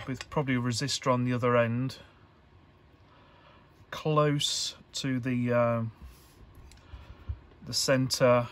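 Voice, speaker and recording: Slow, monotone man explaining hands-on work. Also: mid-range voice. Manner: halting, hesitant, thinking aloud. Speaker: electronics hobbyist presenter. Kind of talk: informal hands-on tutorial narration.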